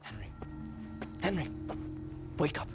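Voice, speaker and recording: An actor playing a concerned man tending a beaten prisoner in a video game, urgent and hushed. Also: takes it slowly, music in the background, natural voice. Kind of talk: dramatic video game cutscene acting.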